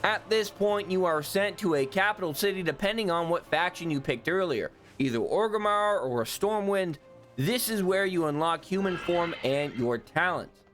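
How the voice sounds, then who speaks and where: A man's voice: high voice, lively intonation, normal speed, a bit bright, faint music behind. Offhand, swearing gaming youtuber man. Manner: offhand, self-deprecating, swears. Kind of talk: casual game overview voice-over.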